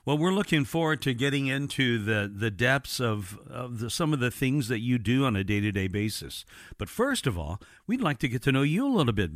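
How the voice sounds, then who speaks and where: A man's voice: medium pitch, sing-song, fairly quick, no echo, clean studio sound. A man hosting a faith interview, friendly, asking questions and giving short encouraging replies. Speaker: interview host.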